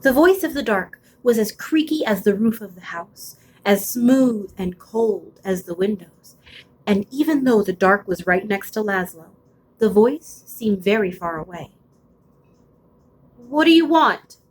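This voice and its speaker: A woman's voice: medium pitch, a little slow, a little mellow, a little hiss or hum, low-quality recording. Woman reading a spooky picture book aloud. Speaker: storybook reader. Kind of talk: expressive children's picture book read-aloud.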